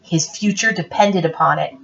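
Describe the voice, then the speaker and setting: A woman reading a children's picture book aloud in a theatrical, expressive, playful way. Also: on the low side, moderate pace, gravelly. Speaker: read-aloud storyteller. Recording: roomy, dull lo-fi, distant mic.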